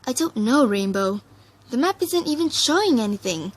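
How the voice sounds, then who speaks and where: A woman's voice: fairly high pitch, lively intonation, moderate pace. A woman voicing an earnest, kind female alicorn pony who leads and reasons things out. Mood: puzzled.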